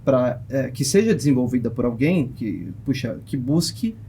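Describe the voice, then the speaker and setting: A man's voice: medium pitch, sing-song, moderate pace, warm tone. A man with a Brazilian accent explaining things conversationally as a podcast guest, often searching for words. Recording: faint background noise.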